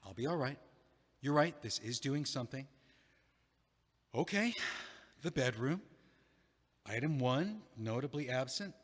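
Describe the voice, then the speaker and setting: A man's voice: mid-range voice, animated, unhurried, long pauses. An actor in an audio play, playing a recently dumped man who talks in a dry, wry, hesitant way, recorded with a long hall reverb. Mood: rueful. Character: recently dumped man, rueful and self-mocking.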